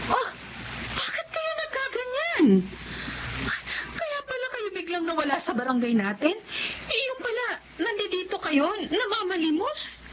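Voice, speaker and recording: Radio drama role: a helpful, friendly female neighbour, very high lively voice, slightly muffled. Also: a little slow, exaggerated voice. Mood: shocked concern. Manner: animated, concerned, friendly. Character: kindly, forgiving neighbour woman, eager to help. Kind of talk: Filipino radio drama acting. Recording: dull lo-fi audio with noise.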